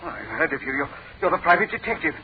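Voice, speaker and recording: High-voiced, blustering British crook. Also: male voice, big pitch swings, fast, crowd noise. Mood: nervous bluster. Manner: blustering, stammering under pressure. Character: indignant, cornered male drug racketeer, blustering and nervous. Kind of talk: classic British radio drama acting. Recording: dull old radio recording with clear noise.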